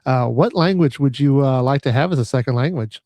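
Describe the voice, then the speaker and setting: A man's voice: medium pitch, a little fast, darkish tone, dry recording. Stammering male podcast host, dry sound. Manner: stammers and repeats words, many fillers. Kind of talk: casual interview chat.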